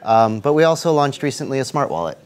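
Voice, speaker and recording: A man's voice: mid-range voice, sing-song, fast. A man speaking as a panelist and engineering lead, upbeat and eager in tone. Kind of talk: conference panel talk.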